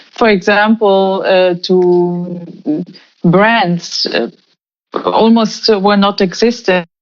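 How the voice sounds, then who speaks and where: A woman in her forties who grew up in Romania, answering interview questions slowly with many fillers and restarts. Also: mid-range voice, steady tone. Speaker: guest. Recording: muffled lo-fi remote call audio.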